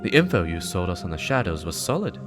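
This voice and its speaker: A man's voice: sits low, big pitch swings, fast, over music. Man voicing a stern, blunt warlock woman. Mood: probing. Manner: blunt, challenging. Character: stern, hard-staring female warlock investigator.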